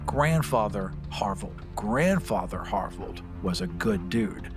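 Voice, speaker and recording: A man playing a tabletop game, chatting casually and improvising story in an expressive way. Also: lowish voice, takes it slowly, over music.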